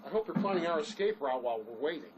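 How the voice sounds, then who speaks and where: A male actor plays a grumbling, skeptical fugitive in an audio drama. His sardonic delivery swings widely in pitch. A faint layer of noise runs underneath. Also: expressive, quick pace, dull audio, lightly acted. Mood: sarcastic. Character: sarcastic, grumbling, skeptical fugitive man on the run.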